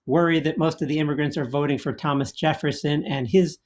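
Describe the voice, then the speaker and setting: Measured male historian panelist with a slightly raised voice. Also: a little high, fairly even intonation, a little mellow. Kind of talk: explanatory panel talk.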